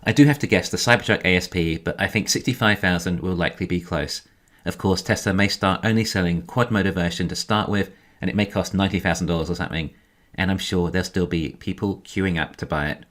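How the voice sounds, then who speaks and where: A man giving a scripted financial commentary in a measured, explanatory way. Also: sits low, a bit bright. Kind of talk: scripted narration, financial analysis.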